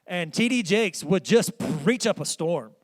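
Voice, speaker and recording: A man preaching a sermon with a small, squeaky voice, telling the story in an earnest, conversational way with lots of "like". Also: expressive, measured pace, low-quality recording.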